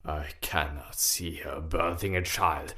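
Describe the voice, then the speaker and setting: A man playing a gentle, grave council elder with a ponderous way of talking in a fantasy audio drama. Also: very deep voice, fairly even intonation, slow, exaggerated voice. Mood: solemn, kindly. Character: deep, slow, gentle, weighty male council elder.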